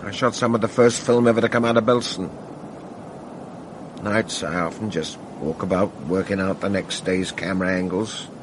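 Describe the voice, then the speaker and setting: A man's voice: sits low, steady tone, moderate pace, natural voice. An actor playing a world-weary, folksy veteran cameraman with a laconic manner, in a radio mystery drama. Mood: world-weary, reflective. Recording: noisy, with outdoor ambience behind.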